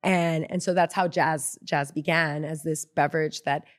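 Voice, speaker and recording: A woman's voice: sits low, steady tone, normal speed. A woman from Mexico, a guest founder talking casually in an interview, with frequent ums and restarts. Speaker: guest, beverage brand founder.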